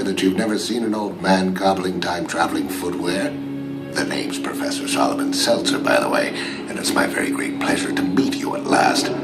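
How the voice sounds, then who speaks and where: An actor playing an eccentric old professor villain in a motion comic, speaking in a grandiose, genteel manner. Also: male voice, low voice, lightly acted. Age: older. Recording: roomy sound with music underneath.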